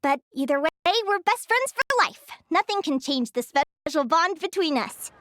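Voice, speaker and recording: A woman's voice: high voice, sing-song, normal speed, fairly bright tone. A woman playing an earnest, sweet young female animal researcher in a video game, explaining things. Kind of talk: animated game character acting.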